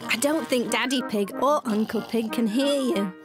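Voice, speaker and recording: A woman's voice: fairly high pitch, normal speed, close to an everyday voice. A woman voicing a chirpy, encouraging aunt pig in a British preschool cartoon, polite and exclamatory. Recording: music behind the voice.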